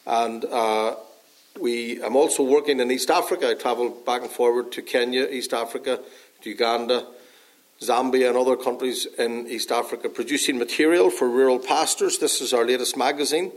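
A man from Northern Ireland with a Northern Irish accent, a pastor giving an earnest sermon in an unhurried way with frequent pauses and fillers, slightly hoarse from a dry cough. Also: medium pitch, steady tone.

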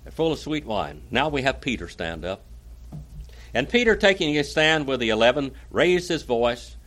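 A man's voice: medium pitch, sing-song, moderate pace, fairly bright tone. A man from Oklahoma with an Oklahoma accent, teaching a Bible class in a folksy way and calling on listeners by name. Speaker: pastor.

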